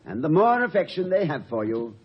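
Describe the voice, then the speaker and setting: Urbane college president, middle-aged. Erudite dry wit, very lively intonation, dulled vintage radio sound. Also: male voice, on the high side, brisk, breathy edge. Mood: genial, playful. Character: urbane, witty, good-humored male college president. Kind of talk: 1950 radio comedy acting. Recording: muffled old radio recording, light noise.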